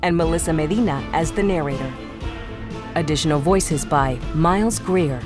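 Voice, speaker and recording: Low-voiced woman narrating a drama. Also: normal speed, over music. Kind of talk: scripted audio drama narration.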